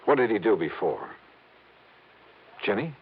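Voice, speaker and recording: A wry old-time radio investigator. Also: male voice, fairly low pitch, wildly swooping pitch, gravelly, husky, full character voice. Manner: dry, wry and quick-witted. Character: wisecracking male insurance investigator. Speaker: insurance investigator, narrator. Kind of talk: 1950s radio drama acting. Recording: muffled vintage radio broadcast with music.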